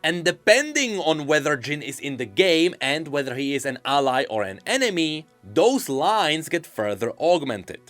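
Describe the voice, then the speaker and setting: Male gaming commentator: fairly high, forceful voice, casual and emphatic, reacting and explaining over faint music. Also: big pitch swings, normal speed, few pauses. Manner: playful, emphatic, swooping delivery. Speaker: streamer and video game lore commentator.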